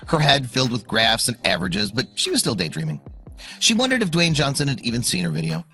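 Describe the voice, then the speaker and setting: A man's voice: mid-range voice, huge pitch swings, quick pace, creaky, bright, forceful tone. A man narrating a scripted dramatic story in a suspenseful, emphatic way. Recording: music under the voice. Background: background music.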